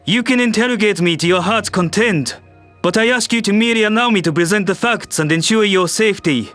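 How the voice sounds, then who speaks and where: A harried human world leader. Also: male voice, sits high, fairly quick, a bit bright, faint music behind. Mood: tense.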